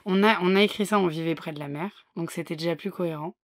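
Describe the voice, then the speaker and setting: A young woman co-hosts a podcast in relaxed, rambling chat, full of fillers and quick laughs. Her voice sits a little low for a woman. The sound is dry and close, with no room around it. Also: no echo. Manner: chatty, laughs easily, many fillers. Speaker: podcast co-host. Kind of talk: casual chat.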